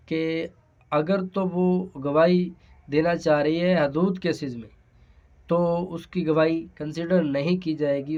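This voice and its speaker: Slow, halting Pakistani man lecturing. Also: on the high side, darkish tone. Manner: halting, hesitant, repeats phrases. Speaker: law lecturer. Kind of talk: explanatory law lesson. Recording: dull, lo-fi sound.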